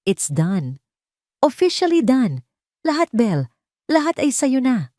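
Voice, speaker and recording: A woman acts a cheating Filipino husband in an audio drama. He is exultant and boastful, his voice lifted high over the narration's usual pitch. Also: sing-song, slow pace, dark tone, no room sound, studio quality, exaggerated voice. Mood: triumphant. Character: triumphant, gloating unfaithful husband in romance drama.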